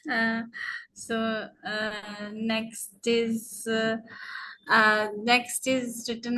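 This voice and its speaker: Hesitant middle-aged woman, flat monotonous delivery, video-call sound. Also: on the high side, poor audio. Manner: halting, many fillers and pauses. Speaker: workshop participant. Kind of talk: hesitant personal sharing in online class.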